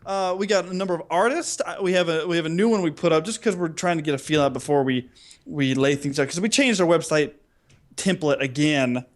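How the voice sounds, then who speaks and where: A man's voice: a little high, a little fast. A man co-hosting a podcast in casual comedic banter, talking in a jokey, rambling way. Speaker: podcast co-host.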